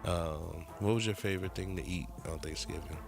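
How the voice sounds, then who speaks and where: A man's voice: deep voice, fairly quick, vocal fry, over music. A man co-hosting a casual podcast chat, laid-back and slangy, his voice still a little nasal from a cold. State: slightly nasal after a cold. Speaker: podcast co-host.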